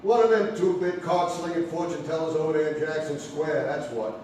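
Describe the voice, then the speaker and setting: A man's voice: on the high side, moderate pace, a little mellow, natural voice. Harried actor as 1940s boss man, echoey. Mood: exasperated. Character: harried, slick 1940s male brokerage boss. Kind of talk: 1940s radio-style stage comedy-drama acting. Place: theatre stage, live show. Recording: echoey theatre stage, distant mic, faint noise.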